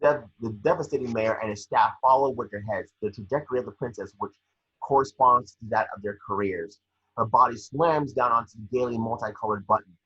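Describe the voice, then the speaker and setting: A man with a husky voice reading scene descriptions at a table read, sometimes stumbling over the script. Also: mid-range voice, brisk, bright, forceful tone, lo-fi sound.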